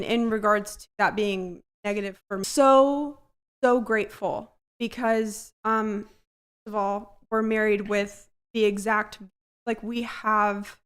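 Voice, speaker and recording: A woman chatting casually with her husband on a livestream, quick to chime in and agree. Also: mid-range voice, smooth. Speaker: co-host, wife. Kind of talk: casual couple chat on a livestream.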